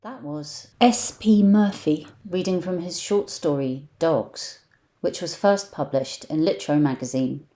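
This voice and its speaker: Female announcer for scripted story-radio credits, deep-pitched and mellow. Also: measured pace.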